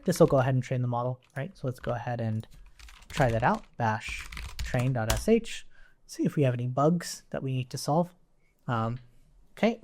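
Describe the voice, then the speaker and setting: A tutorial presenter with a medium-pitched, very animated voice. The delivery is casual and unscripted, working through code aloud step by step. Statements often end on a short checking tag, as if teaching someone alongside. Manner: informal, thinking aloud while working, tags statements with "right?". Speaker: instructor, coding tutorial presenter. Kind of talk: casual live-coding tutorial narration.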